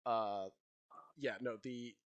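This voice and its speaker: A man's voice: medium pitch, fairly even intonation, slow pace. A young man co-hosting a casual book podcast, talking in a rambling way with lots of fillers and frequent laughs. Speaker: podcast co-host.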